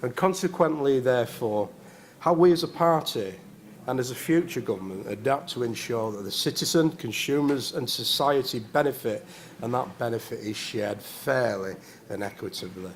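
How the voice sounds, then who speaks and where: A man's voice: medium pitch, normal speed, slight background noise. A man in his forties, a politician giving a prepared speech at a conference, earnest with hesitant restarts.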